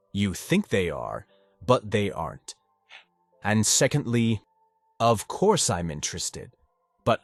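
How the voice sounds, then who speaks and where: A man voicing a dismissive, condescending man in an audiobook drama, speaking in a measured way with pauses. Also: lowish voice, fairly bright tone, no echo, full character voice. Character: condescending, dismissive man arguing with old classmate.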